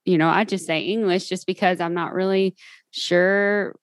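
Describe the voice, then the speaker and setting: A woman's voice: lowish voice, fairly even intonation, moderate pace, no echo. A woman from Kentucky with a Southern US accent, hosting a casual interview and talking in a chatty, giggly way with many fillers. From Kentucky, USA. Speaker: podcast host.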